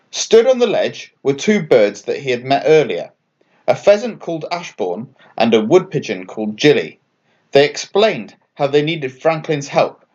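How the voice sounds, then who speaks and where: A man's voice: mid-range voice, a little mellow, muffled recording. A man narrating a scripted children's bedtime story as a storyteller. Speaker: author and storyteller.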